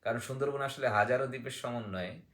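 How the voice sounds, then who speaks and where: বই নিয়ে শিক্ষকসুলভ ভঙ্গিতে কথা বলা এক পুরুষ. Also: male voice, medium pitch, quick pace, fairly bright tone. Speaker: book discussion guest. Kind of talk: explanatory book talk, partly reading aloud.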